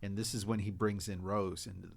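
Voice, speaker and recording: A woman's voice: extremely low pitch, fairly even intonation, a little fast, creaky, darkish tone. A woman chatting casually as a panelist on a podcast. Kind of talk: casual chat.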